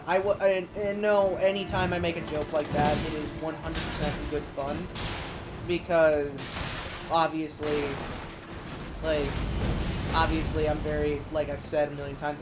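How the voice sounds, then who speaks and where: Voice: mid-range voice, heavy background noise. A young adult game streamer with a youthful-sounding voice, chatting casually in a rambling, frank, emphatic way and swearing freely. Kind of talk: casual chat while gaming. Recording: game music behind the voice. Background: video game music.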